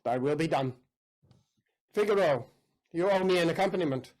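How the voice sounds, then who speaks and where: A man's voice: fairly high pitch, animated, moderate pace, a little mellow, close to an everyday voice. An actor playing a proud, commanding nobleman in a staged audio play, speaking formally and singing a ballad. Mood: gracious. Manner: formal, stately delivery, sings a ballad.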